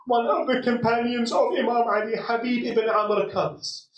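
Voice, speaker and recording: A man's voice: very high voice, steady tone, moderate pace, lo-fi sound. A man delivering an emotional sermon, retelling a sacred story in a dramatic, mournful way. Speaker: religious lecturer. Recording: echoey hall, distant microphone.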